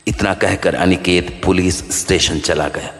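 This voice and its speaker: A man narrating a scripted horror story as a measured storyteller. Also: sits low, steady tone, fairly bright tone. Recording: long studio reverb.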